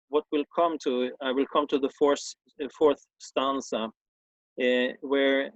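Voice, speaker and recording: Halting Swedish-accented man, dull lo-fi sound. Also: mid-range voice, no echo. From Sweden. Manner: hesitant, halting with many fillers and repeated restarts. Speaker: former schoolteacher presenting a talk. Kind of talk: informal explanatory talk on old texts.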